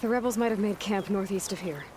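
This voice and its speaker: A woman's voice: mid-range voice, quick pace, noisy background, close to an everyday voice. An actress playing a blunt, determined young woman warrior with a direct, confident delivery in a video game. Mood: determined, dry. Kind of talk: video game cutscene acting. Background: game music.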